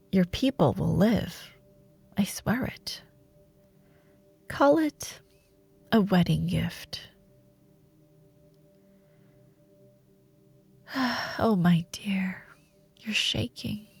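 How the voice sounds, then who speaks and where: A woman playing a seductive, ruthless female dark warlord in a fantasy audio roleplay, speaking deliberately with silky menace and breathy murmurs. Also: mid-range voice, no room sound. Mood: angry, commanding, then soft, menacing. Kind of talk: dramatic fantasy audio roleplay monologue, ASMR.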